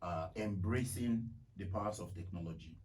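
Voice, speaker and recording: A man's voice: low-pitched, moderate pace, a little mellow. A Nigerian man in his thirties explaining his opinions in a discussion, emphatically, building lists and repeating phrases. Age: 30s. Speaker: radio talk show guest. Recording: slightly distant mic, faint noise.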